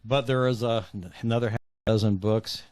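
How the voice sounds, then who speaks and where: A man's voice: mid-range voice, fairly even intonation, normal speed, a little mellow, dry recording. A man answering interview questions as an author, conversational and hesitant, often rephrasing as he goes.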